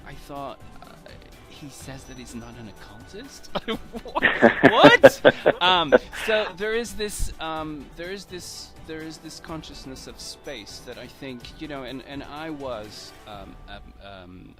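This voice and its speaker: A man's voice: mid-range voice, lively intonation, a little slow, music in the background. A man from Portugal hosts a casual interview, speaking hesitantly, stumbling over his words and often laughing. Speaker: host.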